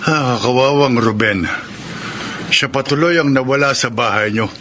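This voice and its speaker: An actor playing a kind, fatherly older neighbor in a radio drama, speaking gently and with measured concern. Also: medium pitch, noisy background, natural voice. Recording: music bed under the voice.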